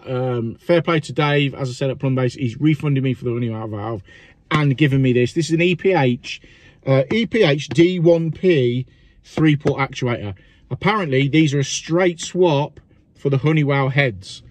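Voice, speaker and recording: A man's voice: mid-range voice, darkish tone. A man, a plumber vlogging frankly about a stressful job, swearing and rambling a little. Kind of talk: casual vlog with job commentary. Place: parked van, then a small bathroom.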